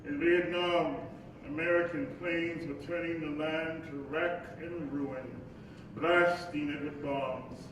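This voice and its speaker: Distant, noisy and heavily echoing hall recording of a man playing a preacher's spirit looking back on his life. He delivers solemn, deliberate stage oratory in a slow cadence. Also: a little high, fairly even intonation. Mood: solemn, resolute. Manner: measured, deliberate, weighty phrasing. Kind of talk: staged reading, oratorical monologue.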